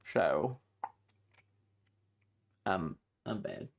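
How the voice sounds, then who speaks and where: Animated, rambling, sweary livestreamer. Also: low-pitched, very slow, highs cut off. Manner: rambling, profane, opinionated. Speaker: streamer. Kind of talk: casual livestream chat.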